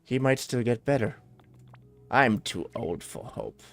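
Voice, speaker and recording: A man voicing a gloomy, gentle game character in a soft, weary, tender way. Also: mid-range voice, lively intonation, measured pace. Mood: gentle, resigned.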